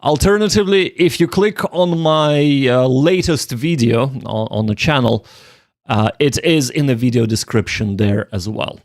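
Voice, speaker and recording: A man's voice: mid-range voice, normal speed, dry recording, clean studio sound. A man livestreaming in a casual, somewhat hesitant way, often repeating his words as he goes. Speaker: livestreamer studying Japanese. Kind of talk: casual livestream chat with Japanese reading practice.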